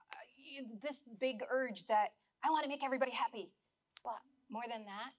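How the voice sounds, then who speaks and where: Woman panelist, higher register, slightly worn voice, reflective and earnest. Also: fairly bright tone. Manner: earnest, reflective, many restarts. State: dry mouth, slightly losing voice. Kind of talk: live panel discussion.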